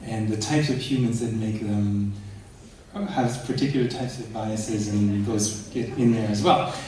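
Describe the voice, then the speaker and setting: A man speaking on a conference panel, explaining in an animated way, recorded from far off in an echoey hall. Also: lowish voice, unhurried, slight background noise.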